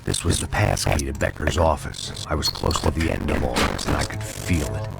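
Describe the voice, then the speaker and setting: An actor playing a hard-bitten middle-aged ex-cop, narrating in a dry, sardonic noir voice-over. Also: male voice, normal speed, full character voice. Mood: weary. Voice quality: very deep gravelly voice. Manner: dry, sardonic, world-weary. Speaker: narrator and lead character. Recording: game cutscene with loud music.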